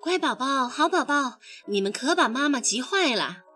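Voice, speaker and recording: A woman's voice: high-pitched, expressive, moderate pace, pushed and bright, faint music behind. A woman voicing a caring, anxious mother frog in a motherly manner for a children's story. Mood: relieved, fussing. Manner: tender, fussing, motherly.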